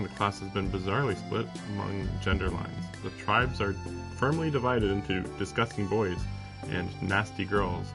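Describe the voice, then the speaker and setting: Low, gravelly man streaming a visual novel. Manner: sarcastic asides. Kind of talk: reading game text aloud with casual reactions. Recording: music behind the voice. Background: game music.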